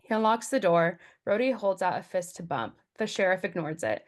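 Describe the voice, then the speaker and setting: A woman's voice: fairly low pitch, animated, moderate pace. A woman narrating stage directions from a script at a table read.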